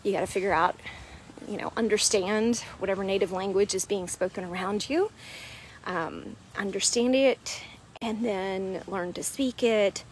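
A woman's voice: medium pitch, a little slow, slight background noise, rough recording. A woman, a weight loss coach chatting casually in a walk-and-talk vlog, explaining things with lots of "right?" and "um". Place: outdoors at a beach park. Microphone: wired earphone mic, phone at arm's length.

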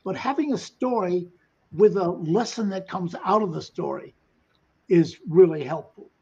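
A man's voice: sits high, measured pace, warm tone, husky. An older man speaking as a panelist on a video call, folksy and skeptical, mixing anecdotes with asides. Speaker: panelist, company founder. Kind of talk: webinar panel talk. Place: home room. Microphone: webcam on video call. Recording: dull lo-fi video call audio.

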